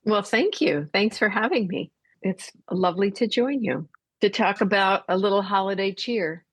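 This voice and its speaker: A woman's voice: medium pitch, normal speed, husky, dry recording. A woman speaking as a theater director and guest in a conversational radio interview.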